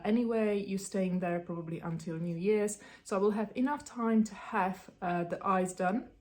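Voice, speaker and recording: Czech lady in her 30s rambling on her vlog, lots of ums, lowish voice. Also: a little mellow. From Czech Republic. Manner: chatty, rambling, many ums and restarts. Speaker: beauty vlogger. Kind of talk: casual talk to camera.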